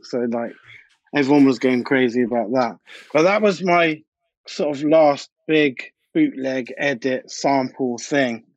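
A man's voice: medium pitch, measured pace, no echo. Guy, producer telling stories in a casual interview, repeats bits for emphasis, kinda lo-fi and dry. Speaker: DJ and music producer being interviewed. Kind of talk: casual interview chat, anecdotal.

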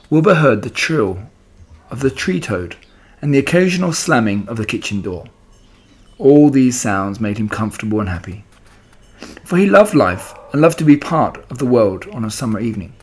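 A man narrating a children's book aloud in an expressive storytelling style. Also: medium pitch, normal speed, slightly rough.

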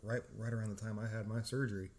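A woman's voice: very deep voice, fairly even intonation, quick pace, a little mellow. A woman interviewing casually, mostly giving short backchannels and joking asides.

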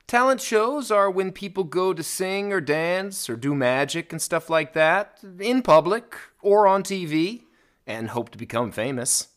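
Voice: medium pitch, expressive, measured pace. A speaker reading scripted lesson answers with a dry, wry delivery.

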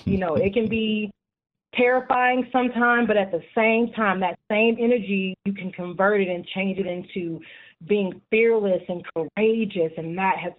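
Passionate woman on a tinny phone line. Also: fairly low pitch. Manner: earnest, passionate, talks at length. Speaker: guest, youth support group chapter leader. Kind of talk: radio interview.

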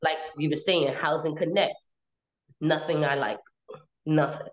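A woman's voice: low-pitched, fairly even intonation, unhurried. A woman in her late twenties telling her own story casually and candidly, repeating phrases for emphasis. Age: 20s.

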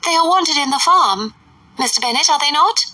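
A woman voicing a fussy, excitable middle-aged mother with a shrill, gushing manner. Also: normal speed, no room sound, far from the mic. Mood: fussing.

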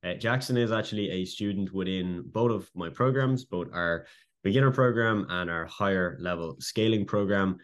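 A man's voice: low voice, moderate pace. A man hosting a casual interview, upbeat and informal, with loose phrasing and fillers. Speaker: host and business coach.

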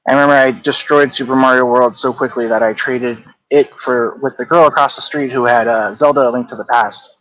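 A man's voice: medium pitch, steady tone, brisk, fairly bright tone, low-quality recording. A man in his thirties, a guest on a podcast, talking casually and thoughtfully with frequent hedges and fillers. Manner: reflective, hedging with "like" and "I don't know". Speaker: guest, game company co-founder. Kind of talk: casual interview chat.

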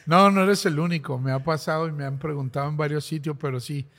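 Gravelly Venezuelan man, friendly guest. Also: on the high side, steady tone, fast, in a large hall. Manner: warm, explanatory, friendly. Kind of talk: casual interview.

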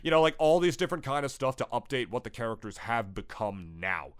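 A man's voice: medium pitch, very animated, a little fast, clear, resonant tone. A young man with a stuffy, nasal voice from a cold, chatting casually and rambling as a podcast co-host, full of fillers and swearing. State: recovering from illness. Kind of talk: casual opinionated chat.

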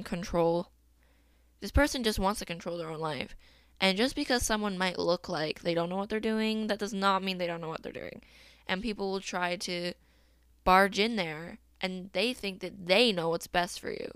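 Young woman podcasting about a song she likes, smooth clear voice, dry close mic, chatty and giggly. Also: mid-range voice, fairly bright tone, dry recording. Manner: chatty, upbeat, laughs often, uses fillers like "um" and "like". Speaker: podcast host. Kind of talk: casual solo podcast chat about a song.

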